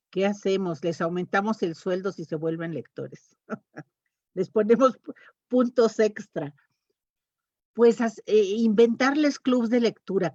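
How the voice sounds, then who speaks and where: Slow-talking woman, dry muffled sound. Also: mid-range voice, darkish tone, no room sound. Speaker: panelist. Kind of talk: informal panel talk. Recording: dull lo-fi video call audio.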